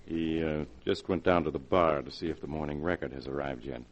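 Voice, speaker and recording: An actor playing a deferential, secretly scheming assistant with a polite, measured manner in a 1940s radio horror drama. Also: male voice, extremely low pitch, lo-fi sound. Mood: suave, evasive. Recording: faintly noisy vintage radio recording.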